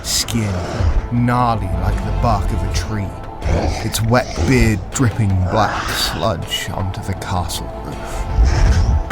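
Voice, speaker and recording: A man narrating a dark fantasy audio story in a deliberate, suspenseful storytelling style. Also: lowish voice. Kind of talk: dramatic scripted fantasy narration.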